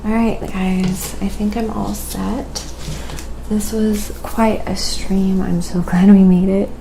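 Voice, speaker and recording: A soft-spoken, sometimes whispery woman chatting casually on an ASMR painting livestream, musing aloud in an unhurried way. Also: mid-range voice, little pitch movement, gravelly, a little hiss or hum, poor audio.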